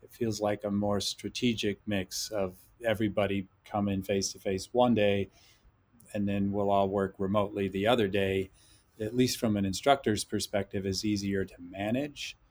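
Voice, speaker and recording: A man talking as a podcast guest and instructor, thoughtful and measured, often hedging his points. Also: on the low side, steady tone, darkish tone. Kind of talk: podcast interview talk.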